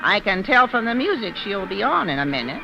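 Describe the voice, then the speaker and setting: An actress playing a sly, gossipy circus fortune-teller in an old radio drama, wheedling and insinuating in folksy slang with a creaky voice. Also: female voice, medium pitch, very animated, brisk. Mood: sly, prying. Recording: muffled old radio recording, music behind.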